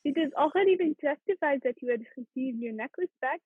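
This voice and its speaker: A woman's voice: sits high, animated, a little fast, no room sound. A woman playing a formal, stern French prefect of police questioning witnesses in an amateur radio play. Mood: measured, official. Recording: thin, narrow phone-like sound.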